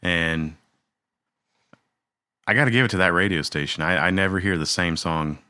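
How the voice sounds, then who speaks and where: Low-voiced, easygoing man on a podcast. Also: normal speed, bright, forceful tone, smooth, no room sound, clean studio sound. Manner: easygoing, jokey, drawling asides. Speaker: podcast co-host. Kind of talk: casual chat.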